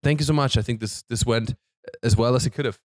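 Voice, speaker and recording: Man, German accent, thirties, podcast host, playful banter, mid pitch, dry room. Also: quick pace, no room sound. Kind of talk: casual chat. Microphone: boom mic close up.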